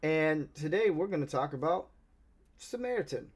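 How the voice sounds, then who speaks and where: A man's voice: a little high, animated, normal speed. A man with a Southern American accent, giving a casual, rambling movie review as a channel host, often restarting his sentences. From Southern United States. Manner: chatty, rambling, many restarts.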